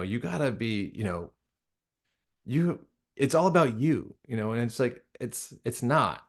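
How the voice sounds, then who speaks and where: A man speaking casually and reflectively as an interview guest, earnest and halting, often interrupting himself mid-thought. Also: medium pitch. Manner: earnest, halting, conversational with frequent self-interruptions. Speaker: guest, audio drama creator and director.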